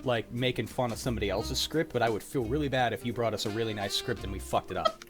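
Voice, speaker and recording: A man's voice: medium pitch, fast talker, fairly bright tone, faint music behind. A young man hosting an online group in a casual, easygoing, accommodating conversational way. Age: young adult. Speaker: host of a live script-reading stream. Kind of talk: casual post-show group chat.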